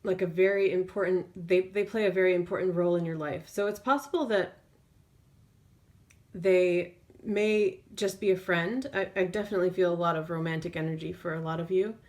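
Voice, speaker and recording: Woman giving an unscripted tarot reading, lowish voice. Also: fairly even intonation, fairly quick, a little mellow. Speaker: tarot reader. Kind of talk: intuitive tarot reading, spoken off the cuff.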